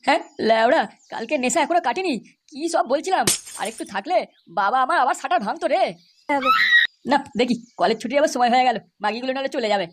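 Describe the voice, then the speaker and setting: A boastful, lecherous young cartoon man, voiced by a male actor, talks in slangy swagger. The delivery is extremely fast and very high in pitch. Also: expressive. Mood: cocky, lewd. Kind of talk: crude comedy cartoon dialogue.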